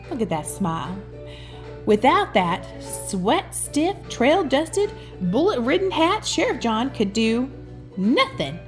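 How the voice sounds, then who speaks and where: A woman reads a children's picture book aloud. Her voice is on the high side and swings expressively, with affectionate, chatty asides to her listener. Soft music plays behind her. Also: big pitch swings, takes it slowly. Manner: affectionate, addresses the listener as sweetheart. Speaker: children's storybook reader and host.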